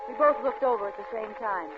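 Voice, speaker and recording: A woman's voice: fairly high pitch, fast, lightly acted. A woman playing a cool, scheming payroll thief in a 1940s radio drama, often narrating her crime. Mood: cool, recounting. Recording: old muffled radio drama, music behind.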